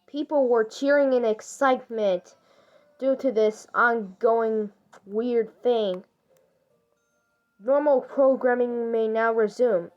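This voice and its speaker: A woman's voice: fairly high pitch, takes it slowly, darkish tone. A synthetic-sounding woman reading a scripted emergency broadcast announcement. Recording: dull lo-fi broadcast audio.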